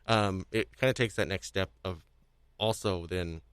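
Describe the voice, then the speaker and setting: A man hosting a casual panel discussion, speaking haltingly and often repeating himself as he restarts phrases. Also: low voice, steady tone, fairly bright tone, clear, resonant tone, no echo. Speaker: podcast host.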